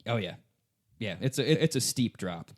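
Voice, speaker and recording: A man's voice: on the low side, lively intonation, normal speed, darkish tone. A man co-hosting a podcast in casual chat, joking around and using plenty of slang. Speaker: podcast co-host.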